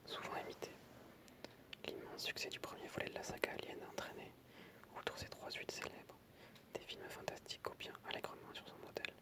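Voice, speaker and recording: An ASMR creator whispering in French, reading aloud softly with informal, hesitant asides. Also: brisk.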